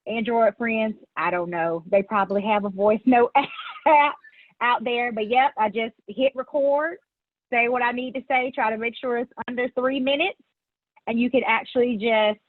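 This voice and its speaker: A woman's voice: a little high, fairly even intonation, normal speed, no room sound. A woman panelist on a video call, friendly and chatty. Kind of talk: casual chat on a video call. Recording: tinny, dry video call line.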